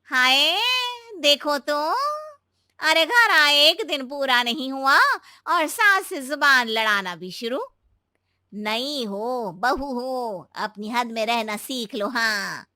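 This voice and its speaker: A woman playing a proud, sharp-tongued middle-aged village mother-in-law in an animated moral story, nagging with curt little tags. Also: high-pitched, takes it slowly, no room sound, clean studio sound, exaggerated voice. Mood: scornful.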